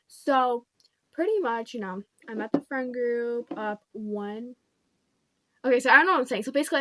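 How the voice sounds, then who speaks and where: A woman's voice: fairly high pitch, fairly bright tone. A young woman vlogger, congested, casually telling a rambling storytime at home, full of fillers like "like" and "pretty much".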